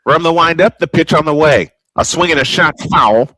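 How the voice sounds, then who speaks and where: A man's voice: on the high side, moderate pace, pushed and bright, no echo, rough recording. A man doing old-school baseball play-by-play commentary as the host of a broadcast.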